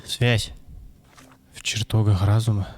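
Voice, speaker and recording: A man's voice: fairly low pitch, little pitch movement, takes it slowly, darkish tone. A young man thinking aloud and joking casually while playing a detective game. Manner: mumbling, wisecracking, thinking aloud. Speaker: gameplay streamer. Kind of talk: casual let's-play commentary.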